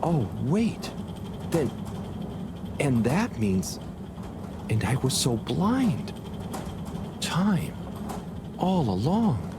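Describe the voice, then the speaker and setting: An earnest, naive man in a game, speaking at a middle pitch. He is halting but lively in his intonation, with clear noise behind him. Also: full character voice. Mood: amazed. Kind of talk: video game voice acting.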